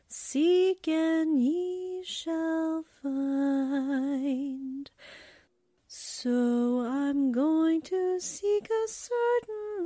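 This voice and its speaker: A woman singing a slow vintage-style ballad. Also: very high voice, dark tone, no echo, clean studio sound.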